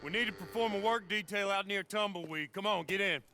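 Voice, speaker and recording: Gruff, bossy Old West guard. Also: male voice, high-pitched, brisk, sharp tone, a little hiss or hum. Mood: bossy, shouting. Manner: gruff, opinionated, swearing. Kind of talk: western video game acting.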